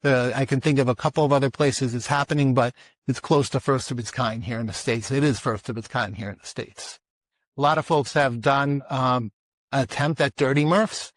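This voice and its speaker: A man's voice: medium pitch, fairly even intonation, moderate pace, no room sound. A man with a mid-range voice talks through his part of an industry panel. He often restarts phrases as he goes. The recording is dry. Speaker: conference panelist. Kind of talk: industry presentation.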